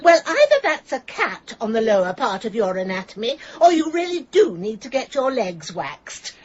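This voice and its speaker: Prim, swooping, high-voiced landlady. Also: very animated, moderate pace, husky, highs cut off. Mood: prim, gloating. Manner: pompous, fussy, pointedly polite sarcasm. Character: prim, bossy, sanctimonious female bed-and-breakfast landlady. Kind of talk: comic radio drama acting.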